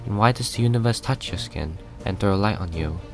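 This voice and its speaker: A man voicing an unseen cosmic being, reciting a poem in a solemn, measured, deep voice. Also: natural voice. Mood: solemn, knowing. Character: unseen godlike male being narrating a poem, solemn and knowing. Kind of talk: scripted poetic narration. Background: music.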